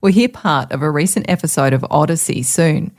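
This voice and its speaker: A woman's voice: low voice, brisk, a little mellow. A woman with an Australian accent presenting from a script, introducing programmes. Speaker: podcast presenter.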